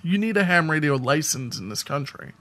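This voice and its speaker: Higher-pitched American man chatting on a podcast. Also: animated, a little fast, sharp tone. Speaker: podcast co-host. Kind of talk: casual podcast chat.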